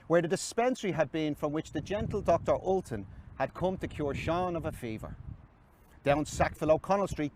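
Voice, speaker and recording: Man reading prose outdoors, fairly high voice. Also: darkish tone. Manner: measured, dramatic, broken into short phrases. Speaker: reader. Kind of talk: expressive literary reading of autobiographical prose. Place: outdoors on a city quay. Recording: outdoor city noise, traffic. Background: urban ambience and passing vehicles.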